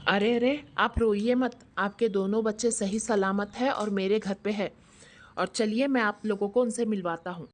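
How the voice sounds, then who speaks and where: A woman's voice: mid-range voice, normal speed, natural voice. A woman voicing a poor, earnest male shopkeeper and father in a scripted moral story. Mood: reassuring.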